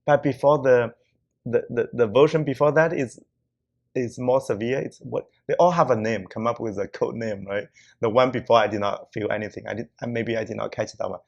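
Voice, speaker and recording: A man's voice: medium pitch, brisk, vocal fry, a little mellow, lo-fi sound. A man hosting a casual podcast chat, talking in loose, non-native English with lots of laughs and restarts. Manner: chatty, laughs often, frequent "yeah" and restarts. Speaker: podcast host.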